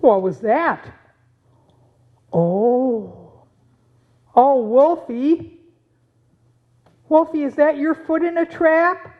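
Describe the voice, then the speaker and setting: A woman playing a cheerful, dreamy, kind-hearted apple planter in a puppet show, with wondering, drawn-out exclamations. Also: high-pitched, narrow, tinny audio. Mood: worried, startled. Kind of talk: puppet show acting with sung passages.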